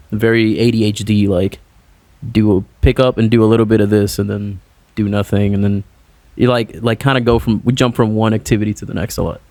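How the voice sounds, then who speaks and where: A man's voice: lowish voice, a little mellow, dry recording. A young man from Puerto Rico, a musician guest chatting loosely in an interview with lots of "like" fillers. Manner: loose, rambling, heavy on "like" fillers. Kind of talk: casual interview chat.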